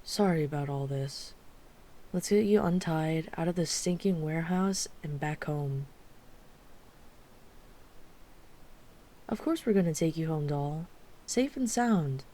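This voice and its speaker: A woman's voice: deep voice, animated, normal speed, dark tone. A woman playing a wry, chatty, flirtatious mafia boss with an apologetic streak in an ASMR roleplay. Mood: apologetic, reassuring. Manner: wry, exasperated, chatty, teasing. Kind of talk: ASMR roleplay audio drama.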